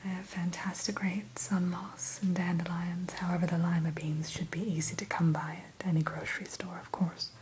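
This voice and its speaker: A woman whispering a gentle, courteous ASMR roleplay as the keeper of a fantasy creature shop, in a breathy voice. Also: lowish voice. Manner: slow, gentle, courteous shopkeeper patter. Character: gentle, courteous female keeper of a dragon hatchery. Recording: steady hiss behind close whisper.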